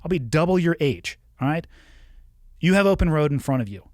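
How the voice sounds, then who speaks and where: A man's voice: a little high, expressive, fairly quick, a little mellow, no room sound, studio quality. A man in his forties hosting a podcast, reading a listener's email and answering with frank, coaching advice. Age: 40s. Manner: frank, coaching, blunt at times. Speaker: podcast host.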